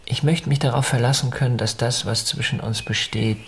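An actor in a German radio drama playing an upper-class husband, possessive and anxious, with an insistent, mannered way of talking. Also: male voice, medium pitch, steady tone, brisk, slight background noise, lightly acted.

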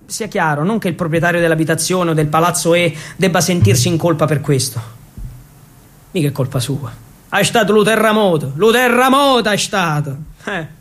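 Casting: male stage storyteller, high-pitched voice, theatrical and ironic delivery of a narrative monologue. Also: fairly bright tone. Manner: theatrical, with deliberate repetitions and ironic asides. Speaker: actor and storyteller.

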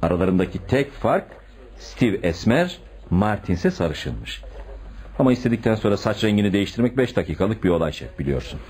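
An actor playing an eager, self-assured police detective reporting to a superior in a radio drama. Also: male voice, on the low side, very animated, fairly quick, darkish tone, a little hiss or hum. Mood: confident. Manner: confident, reporting.

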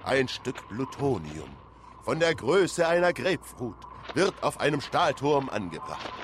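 Ein Mann erzählt dramatisch und nach Manuskript als Erzähler eines deutschen Hörspiels. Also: male voice, medium pitch, big pitch swings, a little slow. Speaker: narrator. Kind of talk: dramatic scripted radio narration. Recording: ambient noise behind.

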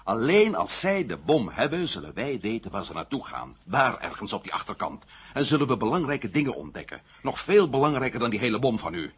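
An actor playing an urgent, persuasive adventurer-investigator in a radio drama, explaining things emphatically and insistently. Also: male voice, medium pitch, big pitch swings, fairly quick, slightly rough. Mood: exasperated, persuasive. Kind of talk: 1970 Dutch radio drama acting. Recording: muffled 1970 radio play recording.

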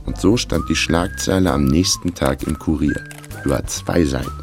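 An actor playing a sly, weary small-time private investigator in a radio crime drama, with a dry, laconic delivery. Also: male voice, extremely low pitch, normal speed, music in the background. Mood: dry, matter-of-fact. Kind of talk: German radio crime drama, first-person narration and dialogue.

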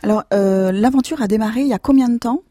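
Mellow-voiced woman interviewer, stumbling. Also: fairly high pitch, fast, dry recording, studio quality. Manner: informal, stumbling, self-correcting. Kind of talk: casual interview.

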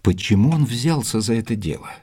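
An actor playing a detective's loyal companion, narrating his memoirs in a calm, measured, reflective way for an audio drama. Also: lowish voice, slightly rough. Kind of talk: audio drama, scripted first-person narration.

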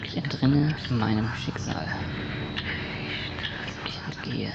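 A male actor plays a tormented, guilt-ridden man delivering a surreal radio play monologue. His voice is heavily creaky and often sinks into a breathy near-whisper, with slow, solemn, halting phrases. The recording is dull and lo-fi, thick with loud noise. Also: mid-range voice, expressive. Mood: haunted, hushed.

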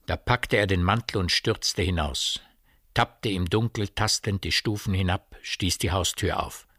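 A man narrating a radio play as a measured, literary storyteller. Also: low voice, fairly bright tone, no echo, studio quality.

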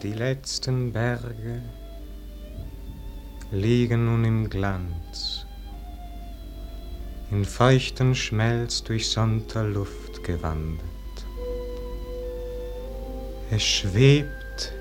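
An actor playing a melancholy, yearning nobleman in a classic radio verse drama, declaiming lyrically in standard German stage diction. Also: fairly low pitch. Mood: hushed reverie. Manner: solemn, lyrical, drawn-out declamation.

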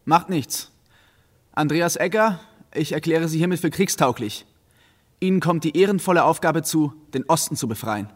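An actor as a high-voiced, officious army officer. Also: male voice, moderate pace, natural voice. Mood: brisk, official. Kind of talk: German radio play acting.

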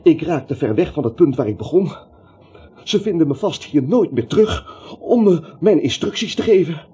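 A man's voice: a little high, animated, normal speed, warm tone, slightly rough. A male actor playing an anxious, timid little man in a radio play, jittery, rambling and stammering. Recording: dull, slightly noisy radio recording.

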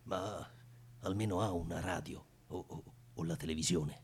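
An actor playing a timid, nervous escaped convict chained to a bed, stammering through every sentence in a radio play. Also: male voice, sits low, steady tone, normal speed, creaky, slightly rough, exaggerated voice. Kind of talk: radio theatre acting.

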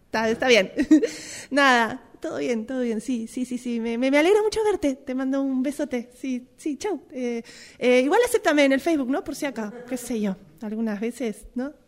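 A woman acting out an awkward, chatty acquaintance in an improvised radio drama exercise, halting and repeating herself in a nervously friendly way. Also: sits high, close to an everyday voice. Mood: awkwardly friendly.

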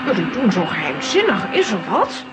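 A woman's voice: medium pitch, wildly swooping pitch, normal speed, over loud music. A woman playing a prim, melodramatic aunt in a children's audio drama, theatrical and dignified. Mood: curious. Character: Tante Sidonia, prim melodramatic grown-up aunt.

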